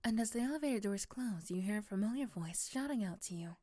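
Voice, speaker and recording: Female narrator for ASMR roleplay. Scripted second-person storytelling, medium pitch, very dry sound. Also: lively intonation, quick pace, mellow, dry recording.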